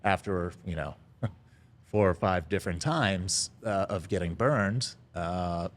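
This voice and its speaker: Low-voiced man, halting storyteller. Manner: halting, lots of "uh" fillers, chuckles now and then. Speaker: restaurant founder, podcast guest. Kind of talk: casual storytelling interview.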